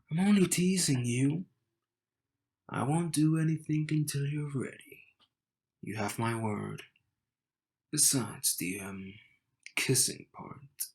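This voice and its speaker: Slow-talking, teasing young groom, played by a man. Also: mid-range voice. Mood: teasing, reassuring. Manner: smug, teasing, unhurried delivery with dramatic pauses. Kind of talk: romantic audio roleplay addressed to the listener.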